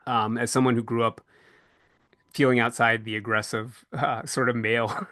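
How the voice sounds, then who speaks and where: A man's voice: mid-range voice, little pitch movement, moderate pace, pushed and bright, no echo, clean studio sound. A man hosting a casual podcast panel, talking in a reflective, conversational way. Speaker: podcast host and panel moderator.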